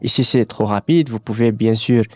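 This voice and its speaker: A man presenting a software tutorial, explaining things step by step. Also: on the low side, normal speed, dry recording, soft background music.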